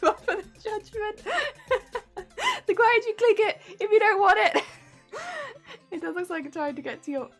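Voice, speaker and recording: A woman's voice: extremely high pitch, animated, moderate pace, pauses often, soft background music. A woman streamer chatting casually while she crafts, with a weak, warbly voice that is a little congested from hay fever, chatty and giggly as she greets her viewers. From United Kingdom. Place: home craft room.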